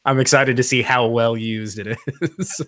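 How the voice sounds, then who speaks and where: A man chatting casually on a podcast, opinionated and quick to laugh. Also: mid-range voice, steady tone, fairly bright tone. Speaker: podcast co-host. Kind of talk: casual chat about firearms.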